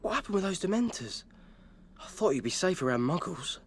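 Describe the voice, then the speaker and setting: A man's voice: high voice, very animated, brisk, slight background noise. An actor playing a blunt, grumbling teenage wizard boy in a video game. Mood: curious, grumbling. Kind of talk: scripted video game cutscene acting.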